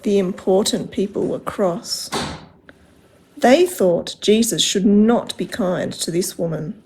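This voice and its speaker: A woman reading aloud to children as a storyteller, in an expressive way with simple, direct phrasing. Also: medium pitch, takes it slowly, slight background noise, low-quality recording.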